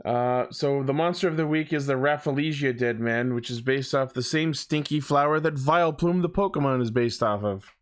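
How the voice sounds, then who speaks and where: A man's voice: mid-range voice, moderate pace, few pauses. A man co-hosting a podcast, talking casually and informally about a TV show with some swearing. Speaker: podcast co-host. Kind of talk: casual fan discussion of a TV show.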